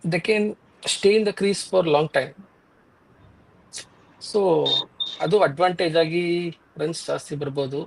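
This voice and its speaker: Male panelist, Kannada South Indian accent, very quick, somewhat high voice, mixes two languages. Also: gravelly, a little hiss or hum, poor audio. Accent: Kannada-speaking South Indian. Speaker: cricket show panelist. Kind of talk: casual chat.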